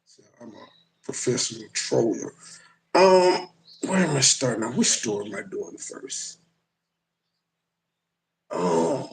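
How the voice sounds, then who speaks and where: A man's voice: mid-range voice, gravelly, darkish tone, roomy sound, slight background noise, rough recording. A man hosting a livestream, rambling and profane as he chats with viewers and reacts to clips. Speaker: livestream host. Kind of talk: casual live commentary.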